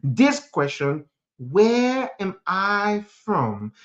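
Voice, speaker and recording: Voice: mid-range voice, very animated, very slow, highs cut off. A speaker from Africa preaching a motivational sermon in an emphatic manner, repeating phrases and posing rhetorical questions.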